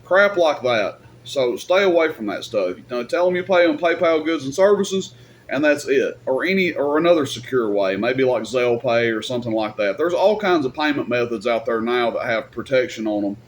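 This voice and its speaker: A man's voice: mid-range voice, expressive, moderate pace, a little hiss or hum. A man giving casual, off-the-cuff advice in a rambling, plain-spoken, colloquial way, like a YouTube host. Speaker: YouTube host and radio equipment seller.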